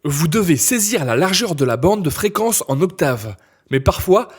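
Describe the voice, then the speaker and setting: Higher-pitched husky male tutorial narrator. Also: big pitch swings, moderate pace. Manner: clear step-by-step instruction. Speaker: instructor.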